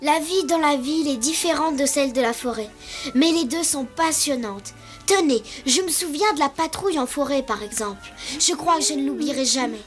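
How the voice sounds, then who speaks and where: A woman's voice: high-pitched, moderate pace, close to an everyday voice. A woman voicing a polite, earnest young elephant boy who is eager to please, in a dubbed cartoon. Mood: storytelling. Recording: music behind the voice.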